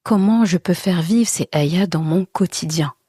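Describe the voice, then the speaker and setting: A woman's voice: lowish voice, unhurried, mellow, breathy edge, no room sound, clean studio sound. A woman hosting a podcast and giving a religious teaching talk, earnest and reassuring as she speaks straight to the listener. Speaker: podcast host.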